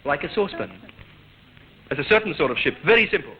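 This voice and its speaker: Animated, high-pitched radio quizmaster, a man. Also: fast talker. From Australia. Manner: teasing, bantering, chuckling. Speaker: radio quiz show host. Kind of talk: playful vintage radio quiz hosting. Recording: dull old radio recording, noisy studio audience.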